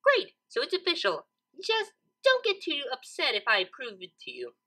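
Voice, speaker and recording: A woman's voice: high voice, wildly swooping pitch, moderate pace, pushed and bright, pitched above the performer's own voice, full character voice. A woman voicing a hyperactive, bubbly young female cartoon pony, chirpy and childlike in the way she gushes. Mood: cheerful confidence. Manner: chirpy, gushing, childlike, exclaiming. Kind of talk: cartoon fan fiction character reading.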